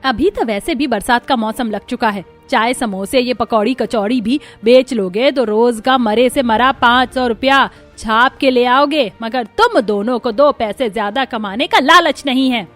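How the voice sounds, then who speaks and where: Woman acting a high-voiced, nagging mother-in-law. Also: unhurried, few pauses, fairly bright tone, soft background music. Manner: nagging, complaining. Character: scolding, sharp-tongued mother-in-law of a poor household. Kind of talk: Hindi cartoon story acting.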